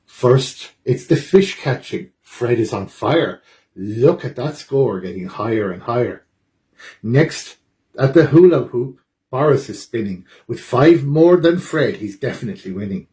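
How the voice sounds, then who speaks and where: A man reading a children's picture book aloud at a library storytime, with dramatic, expressive delivery. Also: medium pitch, measured pace.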